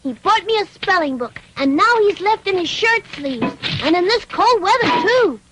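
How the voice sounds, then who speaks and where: Very high-pitched little puppet boy. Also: expressive, moderate pace, noisy background. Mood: tender, earnest. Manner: childlike, theatrical, earnest. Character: naive wooden puppet boy, eager and childlike. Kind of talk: dubbed cartoon acting.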